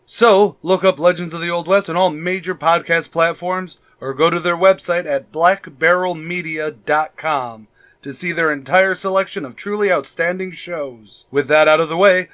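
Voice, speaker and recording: A man's voice: on the high side, moderate pace, fairly bright tone. A man reading scripted announcements in old-time radio style as a show's announcer.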